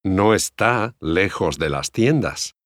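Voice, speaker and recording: A man reading scripted phrases for language learners, deliberate and clearly enunciated. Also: deep voice, animated, dry recording, studio quality. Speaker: language course model speaker.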